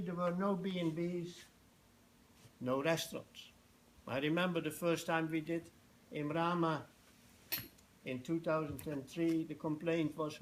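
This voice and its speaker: An older man with a Dutch accent giving an informal public talk in a halting, anecdotal way. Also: fairly high pitch, low-quality recording. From Netherlands. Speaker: festival organiser giving a presentation. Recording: noticeable background noise, live talk.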